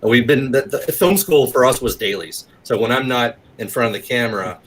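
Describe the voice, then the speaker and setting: A man's voice: medium pitch, a little fast, fairly bright tone, slight background noise, low-quality recording. An American man in his forties chatting casually and candidly as an interview guest, rambling a little. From southeastern United States. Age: 40s. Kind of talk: casual autobiographical chat.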